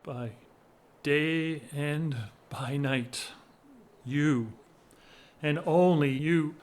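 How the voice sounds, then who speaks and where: Very slow, solemn gentleman in love, an actor's role. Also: fairly high pitch. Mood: ardent, restrained. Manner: halting, solemn, formal. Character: Sir Geoffrey, devoted, restrained gentleman hopelessly in love. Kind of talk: period audio drama acting.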